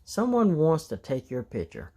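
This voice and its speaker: Man acting a young male train, boastful, playful, animated, storybook character. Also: a little high, normal speed, husky, exaggerated voice. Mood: boastful, teasing.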